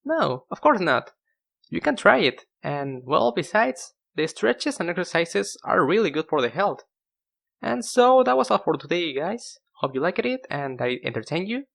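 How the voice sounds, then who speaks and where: Animated young man, higher-pitched voice. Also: normal speed, creaky. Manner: self-deprecating, animated. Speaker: video host. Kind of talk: casual scripted comedic narration to viewers.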